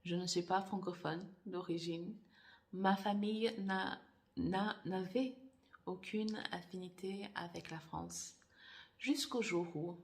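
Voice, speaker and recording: Gravelly, low-voiced woman, thoughtful and halting. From Angola. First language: Portuguese. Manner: thoughtful, halting, many fillers and restarts. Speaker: author. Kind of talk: casual personal vlog.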